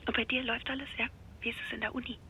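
Breathy, higher-pitched worried middle-aged woman. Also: little pitch movement, racing, bright, forceful tone, a little hiss or hum, low-quality recording. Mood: hushed, apologetic. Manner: hesitant, apologetic, anxious. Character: worried middle-aged German wife and mother on holiday. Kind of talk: naturalistic radio drama dialogue.

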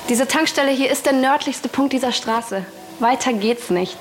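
A woman's voice: sits high, normal speed, natural voice. An actress playing a curt, ominous woman who runs a remote petrol station in a children's audio play.

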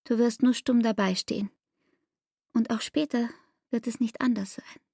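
An actress playing a devoted, determined young fiancée in a German literary radio drama, speaking in an insistent, coaxing way. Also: female voice, on the high side, steady tone, moderate pace, gravelly, mellow, slightly rough, no room sound, clean studio sound. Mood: pleading, determined.

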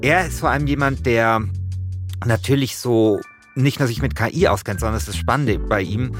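A man's voice: mid-range voice, normal speed, soft background music. A middle-aged man hosting a podcast as a journalist, speaking colloquially in a mix of casual chat and first-person narration.

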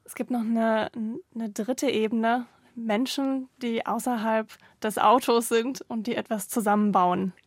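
A woman's voice: fairly high pitch, steady tone, a little slow, hardly any pauses, no room sound, clean studio sound. A woman hosting a cultural interview and putting questions to her guests. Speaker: interviewer, host.

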